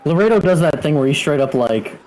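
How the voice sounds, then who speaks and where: A man's voice: on the high side, animated, a little fast, darkish tone, low-quality recording. A man playing a tabletop game, chatting casually as he describes what his character does. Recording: faint background noise.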